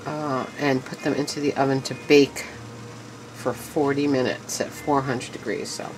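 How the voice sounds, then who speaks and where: A woman's voice: rumbling low, fairly even intonation, moderate pace, noisy background. A woman hosting a casual home cooking demonstration in her kitchen, chatty and enthusiastic, talking to her viewers as she works at the stove. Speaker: home cooking show host.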